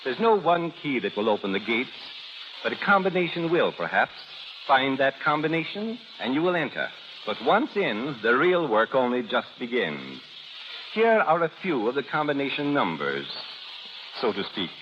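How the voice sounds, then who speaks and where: A man's voice: mid-range voice, sing-song, normal speed. A man giving a prepared 1930s radio speech as a drama coach, formal, earnest and oratorical. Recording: muffled 1930s radio recording, faint noise.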